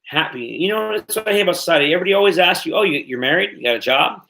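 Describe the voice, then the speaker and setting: A man's voice: sits high, lively intonation, racing, vocal fry, pushed and bright. Rambling, cursing man in his thirties. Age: 30s. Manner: rambling, swears freely, repeats himself. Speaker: podcast host. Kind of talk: casual livestream podcast chat.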